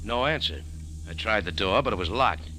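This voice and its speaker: An actor playing a cool, wry American café owner and sleuth, laconic and deadpan in 1940s radio drama style. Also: male voice, lowish voice, normal speed, very noisy, close to an everyday voice. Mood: cool, narrating. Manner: laconic, hard-boiled, deadpan. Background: music.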